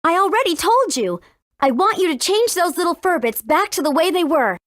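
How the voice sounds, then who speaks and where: Game heroine: spirited, bossy teen girl, high and animated, wry edge. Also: fairly quick, no echo, clean studio sound. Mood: exasperated. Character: spirited, confident, bossy teenage girl adventurer. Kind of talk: video game character acting.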